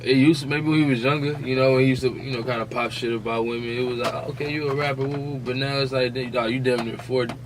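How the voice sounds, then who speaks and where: American man, medium pitch, slangy, conversational, casual podcast chat, slight background noise. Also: little pitch movement, fast, hardly any pauses. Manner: conversational, slangy, reasoning aloud. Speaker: podcast co-host.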